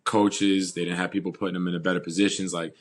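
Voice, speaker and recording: Very deep, flat-voiced young man. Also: fast talker. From New York. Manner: calm, steady storytelling with "uh" and "you know". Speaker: football player, guest. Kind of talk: casual interview answers.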